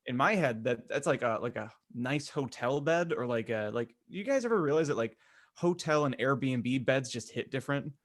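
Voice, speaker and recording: A man with a mid-pitched voice co-hosts a casual chat podcast. He talks informally and works through his thoughts aloud, circling back as he goes. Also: animated, normal speed. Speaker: podcast co-host.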